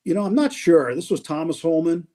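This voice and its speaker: A middle-aged man from New Hampshire, a radio baseball announcer, chatting as an interview guest in a relaxed, rambling way with many fillers. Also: on the high side, a little fast. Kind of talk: casual interview conversation.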